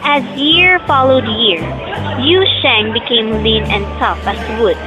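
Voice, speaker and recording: A woman's voice: on the high side, takes it slowly, music in the background, crowd noise. A young woman reading scripted storybook narration for an amateur audio drama. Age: young adult.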